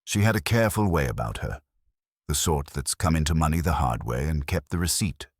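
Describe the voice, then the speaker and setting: An earnest young technical lad in an audiobook mystery, voiced by a deep-toned man. He is literal, loyal and easily ruffled, with animated pitch in a dry, close recording. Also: fairly quick, husky, no echo, full character voice. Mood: earnest, defensive. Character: earnest, touchy, technically minded boy assistant.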